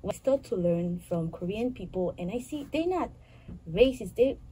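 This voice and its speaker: Soft-toned woman in her twenties from Haiti. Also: medium pitch, animated, slight background noise, rough recording. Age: 20s. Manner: earnest, halting, restarts phrases. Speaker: guest. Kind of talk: casual interview answers.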